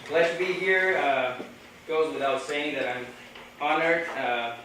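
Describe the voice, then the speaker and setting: A man from Brazil with a Brazilian Portuguese accent, Portuguese being his native language, gives a measured academic talk from a podium at a conference. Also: a little high, noisy background. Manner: measured, deliberate delivery with pauses. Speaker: conference speaker. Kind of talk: academic lecture. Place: large echoey lecture hall. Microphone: podium microphone. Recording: echoey hall, distant mic.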